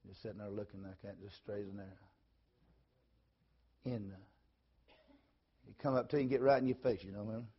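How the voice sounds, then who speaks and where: A man, preaching in a folksy storytelling way, repeating phrases and swinging between loud and soft. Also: fairly low pitch, flat delivery, fairly quick, pauses often, husky. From Tennessee, USA. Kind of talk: sermon storytelling. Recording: dull lo-fi recording.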